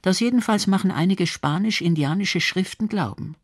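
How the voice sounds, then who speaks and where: A woman's voice: on the low side, normal speed. A woman narrating a scripted radio documentary.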